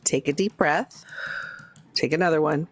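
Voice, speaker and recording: A woman voicing a steady, commanding young woman in a tabletop game, giving terse, measured, reassuring instructions. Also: low voice, no echo, faint music behind. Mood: calm, firm.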